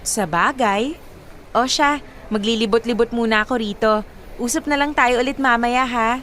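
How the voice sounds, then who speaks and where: A woman playing a devoted, expressive young girlfriend in a Filipino radio drama. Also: a little high, moderate pace, a little hiss or hum, lightly acted. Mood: light, chatty. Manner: expressive, affectionate. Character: devoted, cheerful young girlfriend named Monet.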